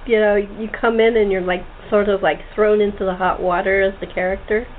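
A woman's voice: mid-range voice, level tone, fairly quick. Woman host on a tinny line. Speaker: host, playwright and director interviewing cast. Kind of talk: casual group interview. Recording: tinny phone-like call with hiss.

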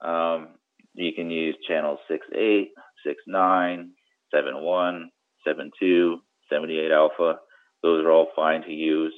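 Very deep-voiced man, muffled audio. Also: level tone, unhurried. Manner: conversational, explanatory, checks in with "right?". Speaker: guest, commercial mariner and boating trip planner. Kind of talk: informal explanatory interview. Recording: muffled narrow-band audio.

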